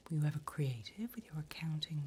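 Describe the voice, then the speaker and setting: A woman voicing a politely bureaucratic, wickedly encouraging demon recruiter in a breathy, unhurried ASMR whisper. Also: low voice, lively intonation, darkish tone, slight background noise. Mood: businesslike, wicked relish. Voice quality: breathy whisper, mostly unvoiced. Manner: unhurried, polite, dryly businesslike about torment.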